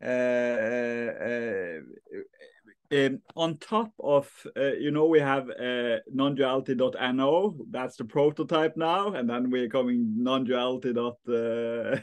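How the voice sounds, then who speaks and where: A slow-talking Norwegian man in his thirties stumbles through his thoughts with lots of "uh" and restarts. He speaks into a laptop webcam from a bright room, and the sound is dry and close. He laughs easily between sentences. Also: medium pitch, clean tone, no room sound. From Norway. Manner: halting, many fillers and restarts, laughs easily. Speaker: host of non-duality talks. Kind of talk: casual video call chat. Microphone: laptop webcam on a video call.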